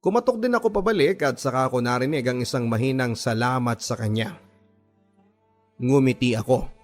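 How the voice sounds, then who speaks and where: A male actor plays a gentle twenty-something working man recounting his life for a radio drama. His medium-pitched voice is earnest and sentimental, moving in measured phrases with dramatic pauses. Soft music sits underneath the narration. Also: lightly acted. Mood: tender, wistful. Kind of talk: first-person dramatic radio storytelling.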